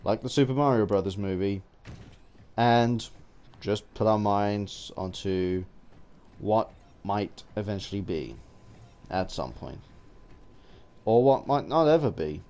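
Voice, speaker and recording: A man giving an unscripted opinion ramble as a gaming vlogger, halting and often restarting his phrases. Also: lowish voice, lively intonation, a little mellow.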